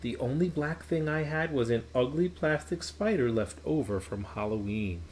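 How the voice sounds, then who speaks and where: Male picture-book reader, expressive and emphatic, medium pitch with very lively intonation. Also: normal speed, slight background noise. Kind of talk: children's storybook read-aloud. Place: small indoor playroom.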